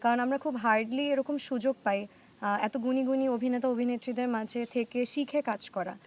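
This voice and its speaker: A woman's voice: on the high side, brisk, a little mellow. A woman with a Bangladeshi accent, an actor giving a casual interview answer in a noisy recording.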